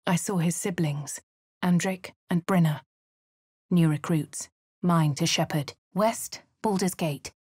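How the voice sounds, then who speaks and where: A woman's voice: fairly low pitch, slow, a little mellow, husky, no room sound, studio quality. Poised posh adventurer woman. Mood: calm narration. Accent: upper-class English. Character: posh young adult woman adventurer, composed. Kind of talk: game character narrating her actions.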